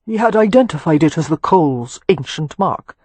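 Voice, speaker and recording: An old Anglo-Irish earl, acted by a male audiobook narrator, proud and shrewd. His husky voice is dry and aristocratic, and he speaks as one used to being obeyed. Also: medium pitch, expressive, moderate pace, darkish tone, dry recording. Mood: cool, imperious. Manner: aristocratic, graceful, dry, commanding. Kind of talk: audiobook character dialogue.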